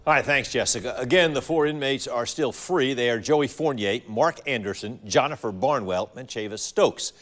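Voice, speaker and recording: A man's voice: medium pitch, normal speed, hardly any pauses, fairly bright tone. A man with an American accent anchoring a local TV newscast, reading a script formally. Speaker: TV news anchor. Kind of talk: scripted local news broadcast delivery.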